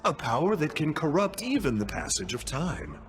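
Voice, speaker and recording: A man's voice: a little high, huge pitch swings, normal speed. A man voicing a smug, self-important youthful clerk in a video game, wordy and pompous in his theatrical delivery. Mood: grandly explanatory.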